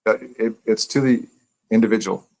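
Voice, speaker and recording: A man's voice: fairly low pitch, steady tone, moderate pace, darkish tone. A man speaking as a guest author in a casual live interview, reflective and rambling, repeating phrases as he thinks aloud.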